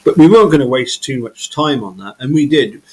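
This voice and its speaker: A man's voice: mid-range voice, expressive, a little fast, a little mellow, a little hiss or hum, rough recording. A man in his thirties hosting a casual live chat interview, stumbling and repeating words as he builds his questions. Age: 30s. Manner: hesitant, repeats words while asking questions. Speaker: host.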